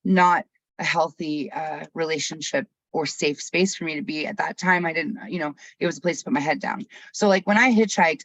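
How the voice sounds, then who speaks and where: A person of about forty from Texas, whose first language was Arabic, leading a workshop chat on a video call in an open, chatty way with quick asides. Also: mid-range voice, a bit bright, no echo. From Texas, USA. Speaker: workshop facilitator. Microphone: computer mic on a video call. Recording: dull, dry video call audio.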